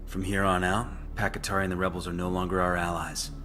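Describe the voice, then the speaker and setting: A steady male soldier in a video game cutscene. His voice is fairly low and his delivery terse and level-headed. Clear music sits underneath. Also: quick pace. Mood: grim, focused. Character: steady, gruff male special forces soldier. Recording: music behind the voice.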